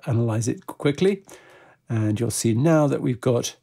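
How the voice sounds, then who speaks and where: A man presenting a software tutorial step by step, in a methodical, informal way. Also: medium pitch, expressive, measured pace, gravelly.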